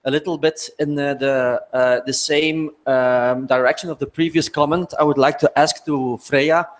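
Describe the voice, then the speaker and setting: A man from Belgium asking a question from the audience, his voice a little high for a man. He hesitates and fills his pauses often. He speaks into a handheld microphone in a large conference hall. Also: steady tone. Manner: hesitant, many fillers.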